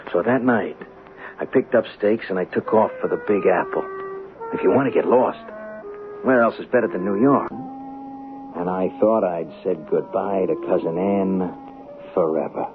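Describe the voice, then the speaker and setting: An actor playing a young small-time hustler, weary and defensive, confessing in colloquial, hesitant radio drama style. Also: male voice, sits low, unhurried, music in the background. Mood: grim, resigned. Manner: colloquial, hesitant, self-justifying. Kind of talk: radio drama acting, first-person confession. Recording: muffled, noisy old radio drama broadcast.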